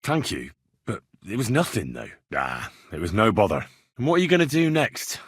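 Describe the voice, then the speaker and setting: Game role: cheeky young swordsman in a medieval town. Husky, very animated, blunt bargainer. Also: on the low side, moderate pace, no echo, clean studio sound, full character voice. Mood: polite, friendly. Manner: blunt, haggling, cocky. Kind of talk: video game character dialogue.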